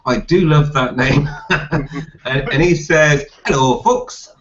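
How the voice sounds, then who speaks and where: A man's voice: a little high, crowd noise. A man hosting a casual live group chat, bantering and reading out comments in a jokey way. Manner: jokey, quick to laugh. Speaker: host of a live group hangout. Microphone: group video call. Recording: dull lo-fi online call audio.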